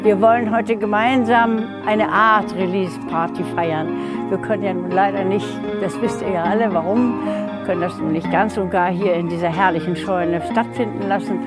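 A woman's voice: medium pitch, steady tone, moderate pace. A woman giving an informal welcome to listeners as a host. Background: loud guitar music.

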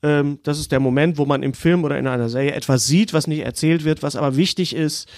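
Male film podcast host, fairly high voice, leads casual discussion, close dry sound. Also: quick pace, darkish tone, no room sound.

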